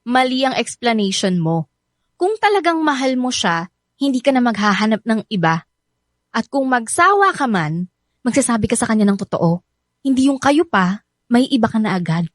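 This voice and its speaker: A woman's voice: on the high side, sing-song, normal speed, dry recording, studio quality. An actress with a Filipino accent playing an irritable, guarded young woman in a radio drama, sarcastic and combative. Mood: indignant.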